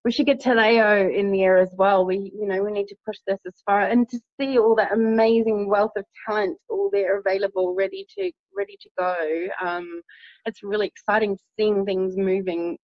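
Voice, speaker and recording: A woman from New Zealand with a New Zealand accent, talking as an interview guest in a casual, hesitant way with many pauses and ums. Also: mid-range voice, fairly even intonation, no room sound, highs cut off. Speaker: campaigner guest.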